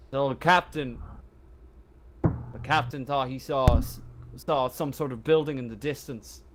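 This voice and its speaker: Man playing a slow, haunted, fanatical confessor. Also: mid-range voice, close to an everyday voice. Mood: haunted, shaken. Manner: halting, stammering, repeats himself. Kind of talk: tabletop roleplay monologue.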